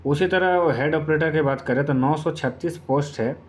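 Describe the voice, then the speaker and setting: A man's voice: on the high side, moderate pace. Man explaining step by step, informally. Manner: repetitive, step-by-step explaining with filler phrases. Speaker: exam-prep YouTube presenter. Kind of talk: informal explainer addressed to exam candidates.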